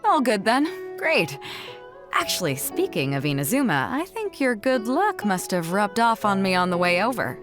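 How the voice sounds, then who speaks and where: A woman's voice: mid-range voice, sing-song, normal speed, lightly acted. A woman playing a confident, level-headed pirate fleet captain in video game dialogue, with an assured, commanding manner. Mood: relaxed, warm. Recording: background music under dialogue.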